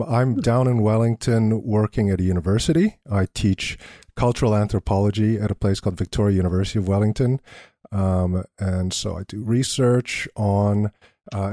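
A man's voice: lowish voice, moderate pace, dark tone, no echo, studio quality. A man from Canada with a Canadian accent, a lecturer chatting casually as a guest in an interview. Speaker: podcast guest, university lecturer.

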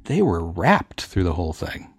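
Low-voiced, enthusiastic man in dry sound. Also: wildly swooping pitch, moderate pace, breathy edge, no echo. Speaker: panelist. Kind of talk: casual panel chat.

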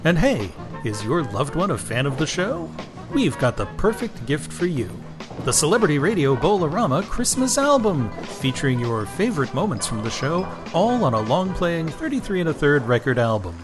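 A man's voice: fairly high pitch, very animated, normal speed, over music. An actor playing an upbeat old-time radio commercial announcer with a polished, salesman-like delivery. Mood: upbeat, selling. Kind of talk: parody radio advertisement.

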